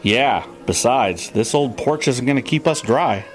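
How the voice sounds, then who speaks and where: A man playing an indignant, self-important park know-it-all in a podcast audio drama, excitable and exaggerated. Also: mid-range voice, measured pace, soft background music, close to an everyday voice. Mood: resigned.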